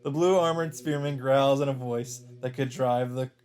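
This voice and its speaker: A man's voice: medium pitch, fairly even intonation, normal speed, a little mellow, smooth. A man reading visual novel narration aloud dramatically as a let's player. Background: plucked-string game music.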